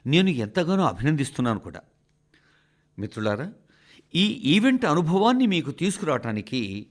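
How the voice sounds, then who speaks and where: A man reading a scripted, formal radio address in speech, phrasing it in a measured, emphatic way with deliberate pauses. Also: medium pitch. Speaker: radio reader of a translated address.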